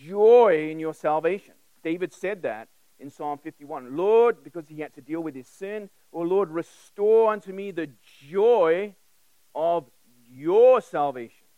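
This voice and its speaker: A man's voice: high-pitched, warm tone, no echo, low-quality recording. A man who grew up in South Africa preaching a sermon in a conversational, emphatic way, with direct questions, repeated phrases and personal stories. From South Africa, born in England.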